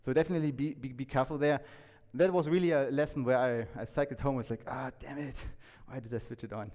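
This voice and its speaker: A man giving an informal talk to an audience, conversational and halting, often restarting his sentences and checking in with questions. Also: mid-range voice, darkish tone. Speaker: conference speaker. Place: indoor talk venue, reverberant room. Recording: echoey hall with long reverb.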